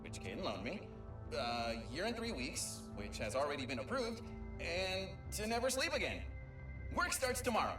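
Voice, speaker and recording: An actor playing an excitable young male Lombax mapmaker who stammers and rambles, in a video game audio log. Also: a little high, normal speed. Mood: excited. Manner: stammering, talkative, self-amused. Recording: echoey and distant, music behind.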